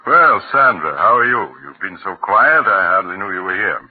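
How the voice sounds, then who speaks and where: A man playing a calm, kindly family doctor and storyteller in an old-time radio drama, measured and reassuring. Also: low voice, husky, exaggerated voice. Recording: muffled, narrow-band old radio recording.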